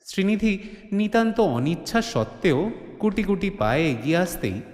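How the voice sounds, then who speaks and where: A man's voice: high-pitched, sing-song, normal speed. A man narrating a comic mystery audio drama as a dramatic scripted storyteller. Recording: roomy, long studio reverb.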